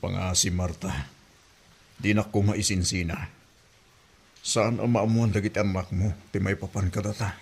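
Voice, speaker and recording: Man acting husky, earnest Ilocano secret lover. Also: sits low, steady tone, normal speed, long pauses, darkish tone, full character voice. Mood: tender, pleading. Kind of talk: Ilocano audio drama acting.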